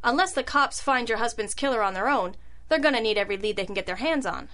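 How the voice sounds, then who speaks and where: An actress playing a wry, sassy hard-boiled private detective who narrates and talks slang in an old-style radio drama. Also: female voice, a little high, expressive, fast, a bit bright. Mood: polite, pointed.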